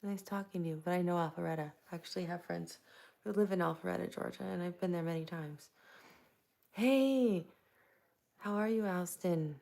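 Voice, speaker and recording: A soft-spoken woman from Tampa, Florida, chatting casually with viewers while hosting a live stream into a plugged-in microphone. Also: fairly low pitch, moderate pace, gravelly. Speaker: livestream host.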